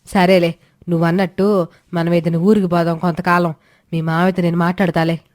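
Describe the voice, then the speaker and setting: A woman's voice: lowish voice, little pitch movement, fairly quick, darkish tone, no echo, studio quality, close to an everyday voice. A woman voicing an agreeable middle-aged village mother-in-law with a colloquial, matter-of-fact manner in a moral story.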